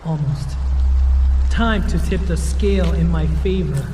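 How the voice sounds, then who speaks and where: Male actor as arrogant villain, highish voice, taunting showman, theatrical game cinematic, long reverb. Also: a little slow, warm tone, natural voice. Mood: vengeful, gloating. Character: boastful villain, arrogant showman with homemade weapons. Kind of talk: video game cinematic acting. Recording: long hall reverb, clear background noise.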